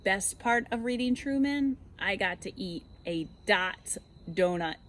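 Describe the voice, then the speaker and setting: A woman reading a children's storybook aloud as a read-aloud host, expressive and deliberate, with dramatic pauses and emphasis. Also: mid-range voice, slight background noise. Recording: roomy echo, distant microphone.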